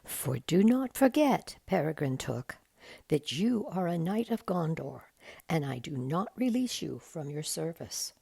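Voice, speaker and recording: Storybook king, read by a woman: noble, kindly authority, formal and firm, low and husky. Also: big pitch swings, unhurried, dark tone, no room sound, exaggerated voice.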